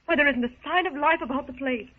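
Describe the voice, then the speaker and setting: An actress playing a gentle, refined young jungle heroine in a 1930s radio serial, earnest and properly spoken. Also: female voice, high-pitched, fast talker, lightly acted. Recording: tinny, noisy 1930s radio recording.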